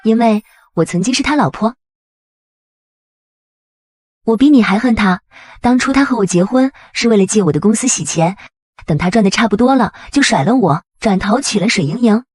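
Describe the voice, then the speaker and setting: A woman's voice: mid-range voice, animated, normal speed, long pauses, darkish tone, breathy edge, dry recording, clean studio sound. A woman playing a shrewd, bitter and resolute businesswoman in an audio comic drama. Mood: bitter resolve.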